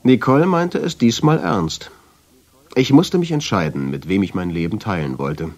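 A guilt-ridden man recounting his own tale for a radio drama. His voice sits very low. He speaks in measured, literary sentences with a brooding, wry undertone. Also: animated, darkish tone. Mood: wry, resigned. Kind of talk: scripted first-person radio drama narration.

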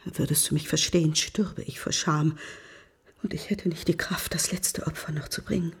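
An actress playing a devoted, self-sacrificing wife in a radio drama, pleading in a hushed, breathy voice. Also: female voice, sits low, fairly even intonation, moderate pace, in a whisper. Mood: pleading, anguished.

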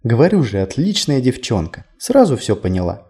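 A man narrating a whimsical story in the first person, wry and lively. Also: mid-range voice, moderate pace. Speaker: audiobook narrator.

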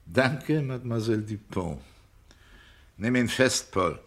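An actor playing a shrewd police investigator in a German radio crime drama, speaking in a measured, precise, clipped and insistent way. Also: male voice, mid-range voice, animated. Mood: brisk, official. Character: shrewd male police investigator, coolly cornering a suspect.

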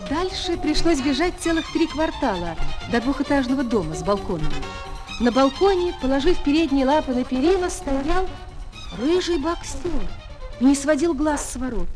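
A woman playing a schoolteacher who narrates a children's audio play with expressive storytelling. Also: sits high, moderate pace. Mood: absorbed storytelling. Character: female schoolteacher quietly following and admiring her pupil. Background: music.

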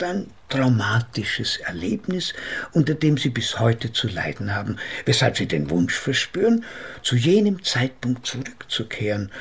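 Gravelly, formal, sceptical psychotherapist. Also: male voice, mid-range voice, exaggerated voice. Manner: measured, formal, pedantic. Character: male psychotherapist, polite, sceptical, ponderously formal. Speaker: actor in a radio play. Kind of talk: German radio drama dialogue and narration.

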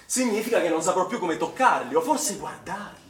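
A man's voice: high voice, lively intonation, fairly quick. A defensive, agitated man, distant and echoing. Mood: indignant, distressed. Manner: stammering, repetitive, indignant. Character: defensive, agitated male swimming instructor. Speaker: actor in a stage play. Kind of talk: naturalistic Italian stage drama acting. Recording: distant, echoing stage pickup with noise.

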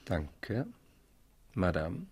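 A man's voice: deep voice, sing-song, very slow, a little mellow, slightly rough, full character voice. A man playing a vain, charming young aristocrat in a period radio drama, suave and mannered in his gallantry. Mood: evasive. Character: vain, charming young French marquis, pleasure-loving.

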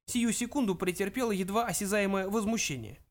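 Man acting a creaky, high-pitched ancient ghost grandpa. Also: a little fast, natural voice. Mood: indignant. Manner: stern, pompous scolding. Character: grumpy, scornful ancient ghost great-grandfather, shrivelled old man.

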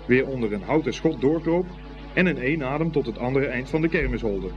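A man's voice: medium pitch, expressive, fairly quick, muffled recording, over music. Male narrator of a children's story. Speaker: narrator of a radio play.

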